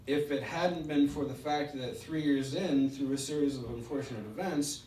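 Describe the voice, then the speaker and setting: A middle-aged man with a stutter gives a personal testimony at a recovery meeting, speaking in halting, spaced-out phrases with pauses. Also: medium pitch, a little mellow. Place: indoor meeting room. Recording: roomy, distant mic with background hiss.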